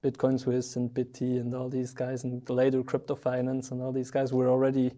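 A man's voice: medium pitch, monotone, fairly quick, mellow. A man hosting a podcast in a studio, interviewing in an easygoing, conversational way. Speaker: podcast host. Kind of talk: casual interview.

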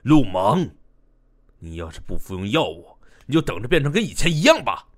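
Man playing an excitable, swooping research doctor. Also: mid-range voice, normal speed. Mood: alarmed. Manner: stammering when excited, exclamatory. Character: excitable male research doctor, bargaining and easily amazed. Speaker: voice actor. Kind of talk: modern Chinese audio drama acting.

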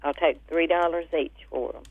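A woman calling a radio show, casually listing things in a halting way over the phone. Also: deep voice, fairly even intonation, no room sound. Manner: halting, pausing between items. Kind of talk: casual listing of items and numbers. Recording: narrow phone line on radio.

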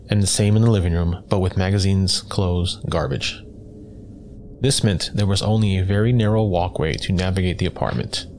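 Deep voiced guy reading a creepy true story, measured and matter of fact, some noise in the recording. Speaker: horror story narrator. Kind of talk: scripted narration of a first-person scary story. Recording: clear background noise.

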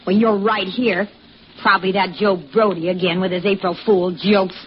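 An actress playing a bitter, scolding, sarcastic housewife in an old-time radio drama. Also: female voice, medium pitch, fairly even intonation, normal speed, close to an everyday voice. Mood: irritated. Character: nagging bitter housewife, sharp-tongued and fed up. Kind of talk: 1940s radio drama acting. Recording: old muffled radio recording, faint hiss.

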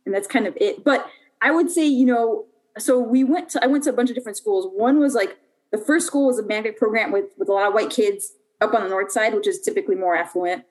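A woman's voice: mid-range voice, fast talker, low-quality recording. A young adult woman from Puerto Rico, a podcast guest telling her life story in a casual, chatty way with lots of fillers and restarts.

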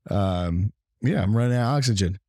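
A man co-hosting a casual gaming livestream, talking in a halting, rambling way as his voice gives out. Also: lowish voice, expressive, darkish tone, no room sound, studio quality. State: losing his voice. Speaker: livestream and podcast co-host.

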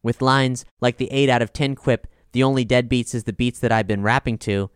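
A man's voice: medium pitch, level tone, brisk, clear, resonant tone, dry recording, studio quality. A man reading a scripted music review as narration. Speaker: reader of album reviews.

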